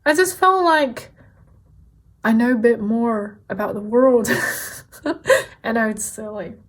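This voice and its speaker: Slow, high-voiced tarot reader woman. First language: not English. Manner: gentle, encouraging, repeats key phrases, soft laughs. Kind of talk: tarot card reading.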